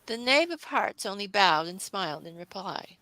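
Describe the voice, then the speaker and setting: A woman's voice: mid-range voice, lively intonation, moderate pace, pushed and bright, no echo, poor audio. A woman narrating a classic children's story, reading it aloud.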